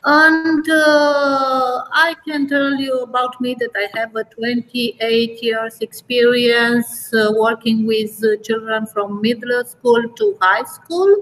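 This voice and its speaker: A middle-aged woman from Romania, a mathematics teacher, introducing herself in a webinar and pausing often with filler sounds. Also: fairly high pitch, little pitch movement, a bit bright, soft background music, poor audio. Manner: halting, many filler sounds. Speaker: guest teacher.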